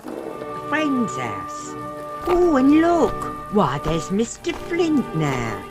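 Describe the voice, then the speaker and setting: A man playing a deceitful guardian in an old-time radio melodrama, drawn-out and falsely sweet. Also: very high-pitched, huge pitch swings, heavily acted. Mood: falsely affectionate. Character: deceitful guardian luring a ward with false affection. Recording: clear music and rain behind.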